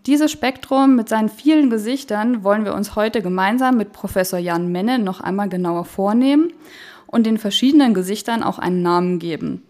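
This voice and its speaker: A woman's voice: mid-range voice, moderate pace, darkish tone. A woman hosting a medical podcast, reading a scripted introduction and asking questions with some hesitation. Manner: friendly, clear, with hesitant questions. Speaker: podcast host.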